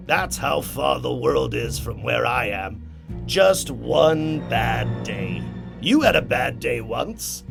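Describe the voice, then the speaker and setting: A man playing an insane, taunting villain clown in a comic-book drama, theatrical and rambling, lurching between hushed musing and shrill mania. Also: vocal fry, heavily acted. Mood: smug, musing. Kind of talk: comic-book motion comic acting.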